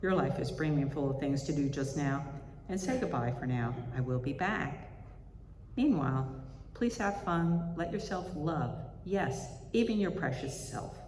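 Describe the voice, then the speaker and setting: A woman giving a reflection at a service, reading spiritual writing aloud in a measured, reflective way. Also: sits low, expressive, a little mellow. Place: large indoor chapel or hall. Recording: echoey hall with reverb, faint background noise.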